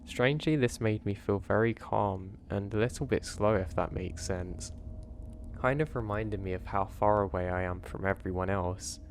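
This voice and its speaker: Man, low voice, throat a little off, calm measured horror narration, faint background hiss. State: throat a bit off, voice slightly strained. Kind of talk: scripted first-person story narration.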